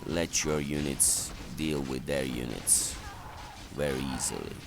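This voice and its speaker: A man giving step-by-step, halting instructions as commentary for a strategy game walkthrough. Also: very deep voice. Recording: clearly noisy voice recording.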